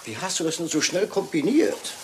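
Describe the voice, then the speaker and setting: A man's voice: a little high, sing-song, brisk. A man playing a shrewd, courteous detective with a dryly ironic, probing manner in a German radio crime drama. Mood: dryly superior. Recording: hissy, noisy old radio play recording.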